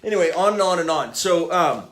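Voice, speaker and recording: A middle-aged man from Hawaii hosts a casual live stream, his voice sitting a little on the higher side. He stops and restarts often, filling gaps with uh and um. Underneath the hesitations he stays enthusiastic as he reads reviews aloud and talks about growing up. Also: animated, a bit bright. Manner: halting, many uh and um fillers, enthusiastic. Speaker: author and app developer hosting a live stream. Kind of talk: casual livestream talk, reading reviews aloud.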